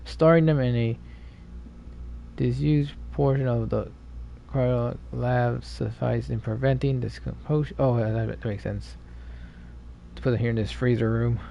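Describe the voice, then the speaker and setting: A man livestreaming a video game in a casual, low-key way, muttering to himself and reading text aloud. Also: fairly even intonation, a little slow, vocal fry, dark tone. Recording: dull, slightly noisy stream audio.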